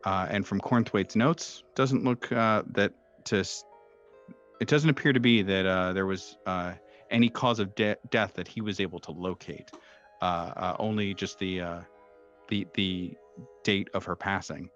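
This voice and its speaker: Low-pitched man narrating haltingly over music. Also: steady tone, fairly bright tone. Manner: halting, hesitant delivery with frequent long hesitations. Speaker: game master. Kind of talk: improvised narration of a tabletop horror mystery. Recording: dull lo-fi sound, music behind. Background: background music.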